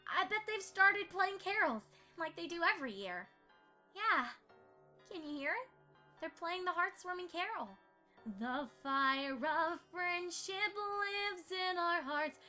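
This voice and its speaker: A woman's voice: extremely high pitch, lively intonation, slow pace, pushed and bright, soft background music, heavily acted. A woman playing an earnest, bookish young female pony who explains things precisely, in an amateur audio drama. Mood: earnest, wistful.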